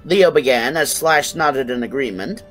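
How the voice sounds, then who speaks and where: Animated man narrating a story. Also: mid-range voice, normal speed, soft background music, rough recording. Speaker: fan fiction narrator. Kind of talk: expressive scripted story narration.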